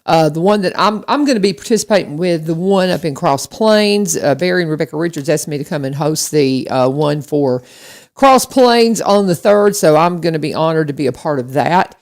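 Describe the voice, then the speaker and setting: A woman's voice: deep voice, animated, normal speed, a little mellow. A woman hosting a local radio show in a studio, chatting casually and rambling with lots of restarts and fillers. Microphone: studio microphone.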